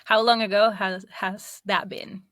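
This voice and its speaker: A woman's voice: mid-range voice, little pitch movement, unhurried, a bit bright, dry recording, clean studio sound. A woman hosting a podcast interview, upbeat and asking curious questions. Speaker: podcast host and career coach.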